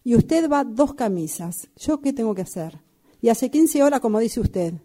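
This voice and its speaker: A woman acting as a bossy, scornful workshop boss in an improvised radio drama, curt and quick to interrupt. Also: a little high, lively intonation, dark tone. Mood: scornful, demanding.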